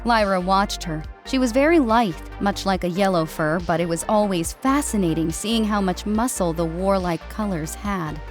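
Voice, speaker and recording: Seorang wanita membacakan narasi cerita fiksi sebagai narator buku audio. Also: female voice, mid-range voice, moderate pace, hardly any pauses, faint music behind. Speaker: audiobook narrator. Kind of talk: scripted fiction narration.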